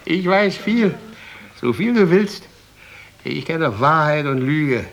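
A man's voice: fairly high pitch, lively intonation, takes it slowly, fairly bright tone, heavily acted. An actor playing a boastful, taunting male street musician in a theatrical radio drama style. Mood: boastful, teasing. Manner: taunting, theatrical, repeats himself. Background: plucked guitar music.

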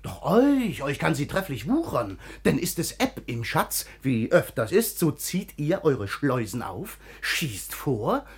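A proud, impulsive dervish from a classical radio play. His male voice sits fairly high and is rough and husky, and it swings widely as he declaims in a slow, emphatic way. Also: wildly swooping pitch, heavily acted. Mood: coaxing. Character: proud, impulsive dervish turned sultan's treasurer, blunt free spirit. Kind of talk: classical German radio drama acting.

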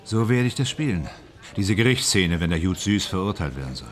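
A man playing a brooding, uneasy film actor in a German radio drama, understated and quietly earnest. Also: low voice, big pitch swings, a little fast. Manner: restrained, understated.

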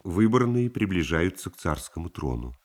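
A man's voice: low voice, very animated, normal speed. A man giving scripted narration of the stage action in a radio play, as its narrator.

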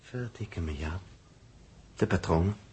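A man's voice: deep voice, measured pace, darkish tone, slightly rough, exaggerated voice. An actor playing an irritable, sarcastic space crewman with an inventive streak, grumbling and thinking aloud in a 1960s Dutch radio drama. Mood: irritated. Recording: noisy 1960s radio recording.

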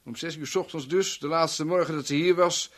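Actor as a sneering, bright-voiced gloating villain. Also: male voice, on the high side, fairly even intonation, a little fast, close to an everyday voice. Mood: mocking. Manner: theatrical, sneering, savouring his words. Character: sadistic, taunting male villain gloating as a blackmailer. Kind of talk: 1970s Dutch radio drama acting.

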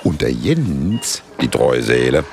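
An actor playing a chatty, wry local storyteller in a radio play, with a gravelly voice and a Ruhr area German accent. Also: male voice, extremely low pitch, big pitch swings, slow pace, darkish tone, slight background noise, full character voice. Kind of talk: colloquial radio play narration.

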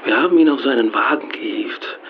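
A man's voice: mid-range voice, fairly quick, highs cut off, a little hiss or hum, lightly acted. A man acting in a radio drama, playing a wry, observant man who recounts what he has seen. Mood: wry recounting. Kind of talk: radio drama acting, narrating a recent event.

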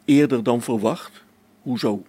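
A man's voice: medium pitch, unhurried, warm tone, a little hiss or hum, natural voice. An amateur actor in a radio play as an anxious space station technician, a man who stammers and hesitates, agitated. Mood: puzzled, alarmed.

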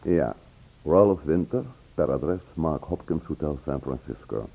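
An actor playing a shrewd, curious husband who calmly takes charge in a radio play, questioning in a measured, controlled way. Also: male voice, a bass voice, vocal fry, a little hiss or hum, full character voice, low-quality recording. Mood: cool, curious.

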